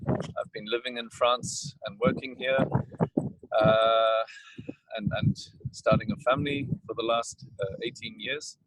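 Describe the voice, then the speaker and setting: A man with a South African accent, a winemaker talking casually and haltingly with many fillers while standing outdoors in a vineyard, tired and coughing now and then. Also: mid-range voice, background noise.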